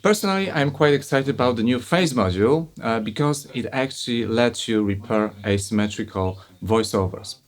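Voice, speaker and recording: Polish-accented man demoing audio software. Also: mid-range voice, moderate pace, hardly any pauses. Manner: relaxed, explanatory, with frequent "uh" and "you know". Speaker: audio plugin reviewer. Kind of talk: casual software review and demo.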